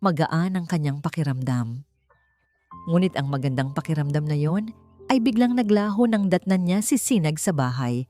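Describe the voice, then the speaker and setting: A woman's voice: low-pitched, animated, moderate pace, warm tone, faint music behind. A woman with a Filipino accent reading a dramatic scripted story as an audiobook narrator.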